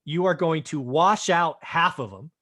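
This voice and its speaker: A man with a voice a little higher than average talks through business points as an investor and host. He explains step by step in a conversational, affirming way and often tags his points with a short question. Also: animated, measured pace, sharp tone. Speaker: real estate investor and show host.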